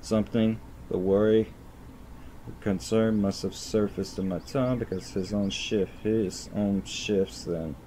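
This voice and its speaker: A man's voice: fairly low pitch, steady tone, measured pace, mellow, slight background noise, poor audio. A man streaming a game, reading its narration aloud and often stumbling over and repeating words.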